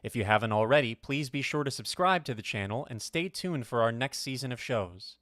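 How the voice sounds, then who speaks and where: A man speaks as the announcer of a radio drama show. His pitch is average and his delivery scripted, in a dry recording. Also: animated, fairly quick, a bit bright, dry recording.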